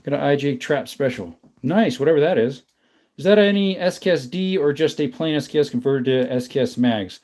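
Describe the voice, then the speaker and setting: A man with a slightly raised pitch hosts a casual livestream from a room at home. He rambles along easily, reading viewer questions aloud and thanking people by name as he goes. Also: a little fast, darkish tone. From not from the American South. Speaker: livestream host.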